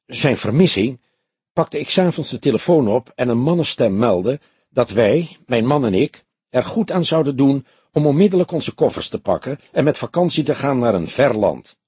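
A man's voice: mid-range voice, very animated, moderate pace, dark tone, breathy edge, no room sound, pitched above the performer's own voice. A man voicing a composed, proud and stern newly widowed woman in an audiobook crime story.

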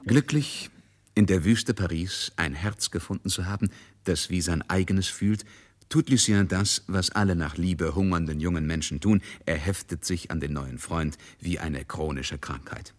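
A man serves as the narrator of a literary radio play. His voice is deep, and he delivers the prose as scripted storytelling. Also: normal speed.

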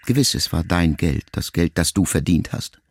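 Male, very low and creaky: a grieving writer son, measured, intimate radio-play monologue. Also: expressive, very noisy. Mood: reflective, quietly reproachful. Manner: measured, reflective, intimate, with deliberate pauses. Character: grieving grown son, a writer, addressing his dying mother.

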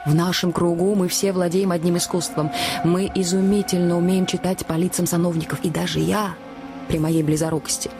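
A woman's voice: lowish voice, level tone, a little fast, close to an everyday voice. A woman playing a worldly, ironic, coquettish society lady in a satirical fairy-tale play, with a knowing, theatrical delivery. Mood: ironic, warning. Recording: music behind the voice.

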